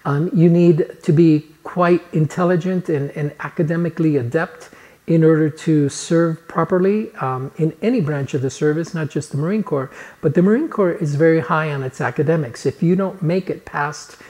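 A man's voice: a little high, moderate pace, a little mellow. A man in his fifties, born in Chile, telling a personal story in an earnest motivational talk to camera, recorded on a lapel mic in a living room. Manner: earnest storytelling, gestures with hands. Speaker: personal coach. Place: living room, on a couch indoors.

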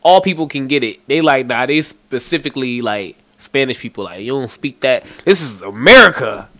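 A man's voice: medium pitch, lively intonation, normal speed. A young man hosting a casual podcast, joking loosely and swearing often, recorded on a studio mic in a small studio. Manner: joking, profane, mock-shouting bits. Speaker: podcast host. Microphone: studio mic on boom arm.

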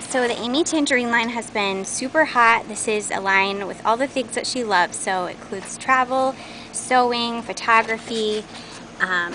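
Chatty woman in a noisy warehouse. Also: mid-range voice. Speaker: host showing new craft store products. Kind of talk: casual unscripted product walkthrough. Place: large indoor warehouse aisles. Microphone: handheld camera's built-in mic. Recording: noisy handheld camera in warehouse.

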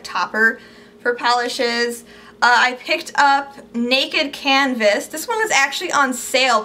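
Bubbly young woman with a bright high voice. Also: slight background noise. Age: young adult. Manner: chatty, upbeat, gushing about products. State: losing her voice, slightly squeaky. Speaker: beauty vlogger. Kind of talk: casual haul video. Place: small indoor room at home.

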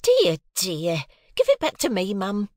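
A man voicing a bossy, brisk working-class nursemaid in a Victorian novel audiobook, with a scolding manner. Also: sits high, huge pitch swings, darkish tone, dry recording, clean studio sound, pitched above the performer's own voice, full character voice. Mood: fussing, ordering.

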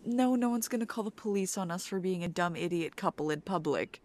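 A young woman doing a soft, playful, teasing ASMR girlfriend roleplay. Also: fairly low pitch, expressive, fairly quick, a little hiss or hum.

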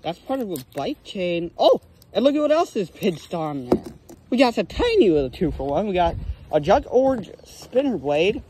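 A man's voice: high-pitched, normal speed, background noise. A young man vlogging while magnet fishing from a lake dock, exclaiming over each find in casual commentary. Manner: excitable, exclaims over finds. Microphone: handheld phone or body camera.

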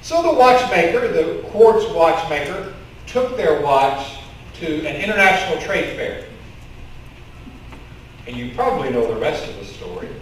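A man's voice: fairly high pitch, big pitch swings, unhurried, slight background noise. A middle-aged man with a Kentucky accent preaching a sermon to his congregation, telling stories and gesturing as he speaks. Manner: conversational storytelling preacher, gestures with open hands. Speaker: pastor. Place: church sanctuary in front of a curtain. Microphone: stand mic with headset mic, filmed from the congregation. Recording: echoey sanctuary, voice from a distance.

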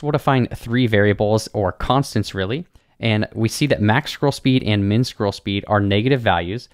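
A young man teaches a web design tutorial from his home studio, calmly explaining each step. His voice sits a little low. A boom mic captures it close and dry, with no room sound. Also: dry recording. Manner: relaxed, explanatory, talks through each step. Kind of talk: screen-recorded coding tutorial. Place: small home studio, indoors. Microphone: studio mic on boom arm.

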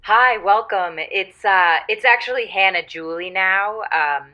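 A self-serious young woman phoning in to a radio show. She is prim but hesitant, with a sharp, bright voice over a slightly dull caller line. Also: mid-range voice, a little slow. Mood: prim, correcting.

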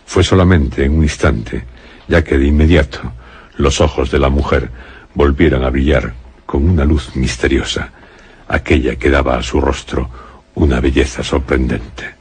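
A man's voice: extremely low pitch, fairly even intonation, moderate pace, slightly rough, full character voice. A man narrating a gothic suspense radio drama in a grave, scripted style. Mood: grave, ominous. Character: grave male narrator of a gothic horror tale.

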